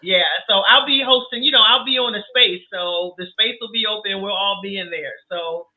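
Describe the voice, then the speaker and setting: A woman's voice: medium pitch, normal speed. A woman guest chatting loosely on a podcast, her voice getting scratchy. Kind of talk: casual podcast chat. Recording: tinny phone-like line.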